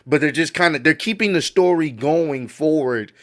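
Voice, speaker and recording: A man's voice: on the high side, moderate pace, fairly bright tone. Laid-back man reacting to wrestling news. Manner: laid-back, admiring, repeats himself. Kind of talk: casual reaction commentary.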